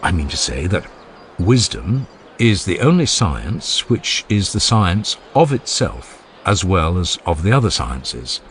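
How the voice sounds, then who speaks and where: A man reading the part of an agreeable, subdued debating partner who gives measured, conceding answers in a dramatized philosophical audiobook. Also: low-pitched, very animated, a bit bright, a little hiss or hum, exaggerated voice.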